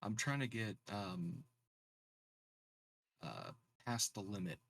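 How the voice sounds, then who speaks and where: A man's voice: fairly low pitch, slow pace, fairly bright tone. A man chatting casually as a player in a tabletop roleplaying game.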